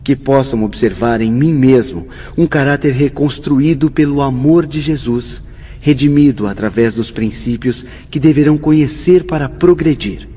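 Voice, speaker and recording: An actor playing a youthful, gentle and wise spirit teacher in a radio drama, speaking with courteous formality. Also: male voice, medium pitch, normal speed, slight background noise, close to an everyday voice. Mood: solemn, earnest. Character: Aníbal, youthful male spirit mentor, gentle, wise, fatherly. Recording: hall reverb, dull lo-fi sound.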